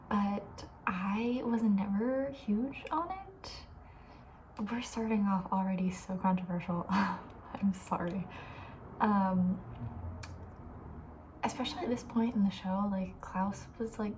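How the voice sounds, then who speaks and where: A woman speaking in a soft, breathy ASMR style, often slipping into a whisper, chatting casually in a gentle, hesitant way. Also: mid-range voice, slight background noise, low-quality recording. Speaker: ASMR video creator, host.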